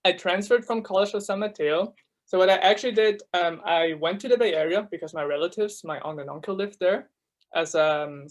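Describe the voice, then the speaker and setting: A young man from Switzerland whose first language is German, giving a casual, filler-heavy talk as a student ambassador over a video call. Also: on the high side. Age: young adult. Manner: chatty, many fillers, quick laughs. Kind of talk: casual presentation to new students.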